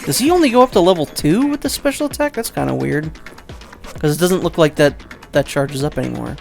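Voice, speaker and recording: A man giving casual, rambling let's play commentary, second-guessing himself out loud and swearing offhandedly. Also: on the high side, animated, fairly quick, gravelly, over music.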